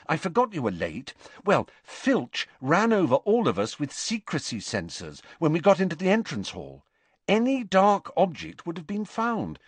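A man voicing a bossy, know-it-all teenage schoolgirl who explains things briskly in a storybook reading. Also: on the high side, breathy edge, no echo, pitched above the performer's own voice, exaggerated voice.

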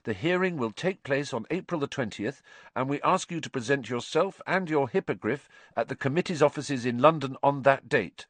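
A man voicing a schoolboy who reads an official letter aloud in a formal, measured way, in an audiobook. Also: mid-range voice, animated, dry recording, pitched above the performer's own voice, close to an everyday voice. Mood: formal, careful.

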